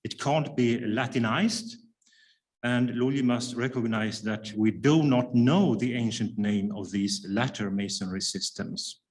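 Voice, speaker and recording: Man giving a measured lecture. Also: mid-range voice. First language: Swedish. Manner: measured and careful, with frequent hesitation fillers. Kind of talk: academic lecture read from a prepared paper.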